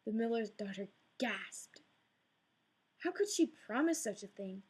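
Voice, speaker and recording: A woman narrating a fairy tale in an expressive, gently dramatic read-aloud style. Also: on the high side, a little slow, slightly rough. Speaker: storyteller.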